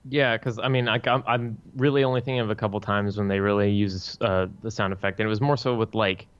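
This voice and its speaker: A man's voice: fairly low pitch, fairly even intonation, fast, smooth, highs cut off. A man co-hosting a podcast, giving his opinions in casual review chat. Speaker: podcast co-host reviewing fan films.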